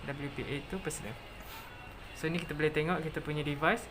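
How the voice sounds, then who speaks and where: A presenter with a Malaysian accent narrating a step-by-step software tutorial, mixing in English technical terms. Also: mid-range voice, fairly even intonation, quick pace, poor audio.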